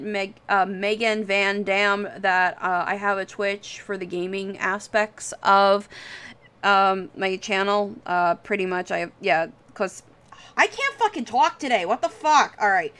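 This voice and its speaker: A woman with a very bright, forward voice hosts a casual livestream. She rambles in an off-the-cuff, self-deprecating way and swears freely as she chats. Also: mid-range voice, a little slow, clean tone. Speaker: livestream host. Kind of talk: casual livestream chat while drawing.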